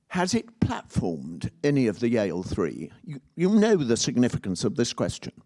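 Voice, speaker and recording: A man's voice: mid-range voice, darkish tone. A man in a conference audience asking pointed, insistent questions. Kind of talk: public Q&A question.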